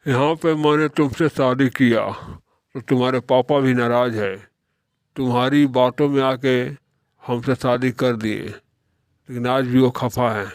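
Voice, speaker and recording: A man's voice: medium pitch, fairly even intonation, measured pace, dry recording, lightly acted. A man voicing an idle, proud young son-in-law in a moral-story cartoon, complaining in firm statements. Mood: aggrieved.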